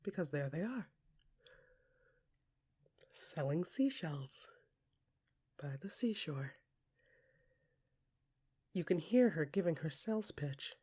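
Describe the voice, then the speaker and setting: Husky, hushed woman storyteller. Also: sits low. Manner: hushed, often dropping to a whisper, with deliberate pauses; expressive, swinging from soft musing to emphatic bursts. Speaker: narrator and performer of an audio fiction podcast. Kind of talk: intimate second-person dramatic storytelling.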